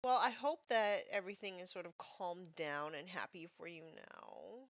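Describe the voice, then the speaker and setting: A woman's voice: lowish voice, big pitch swings, moderate pace, gravelly, no echo. A woman streaming as she paints miniatures, chatting casually and thinking aloud as she works, a little nasal from a cold. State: has a cold, a bit nasal. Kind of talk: casual chat while painting. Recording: dull, slightly lo-fi stream audio.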